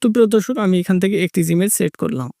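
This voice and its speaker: A man's voice: high voice, animated, very fast, gravelly, warm tone, no echo, clean studio sound. A man narrating a step-by-step tech tutorial, repeating his instructions as he goes. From Bangladesh. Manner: repetitive instructional phrasing.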